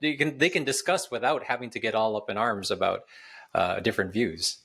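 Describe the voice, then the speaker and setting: A man's voice: on the low side, fairly quick, gravelly, a bit bright, slightly rough. A man hosting a podcast interview, asking long, conversational questions. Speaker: podcast host.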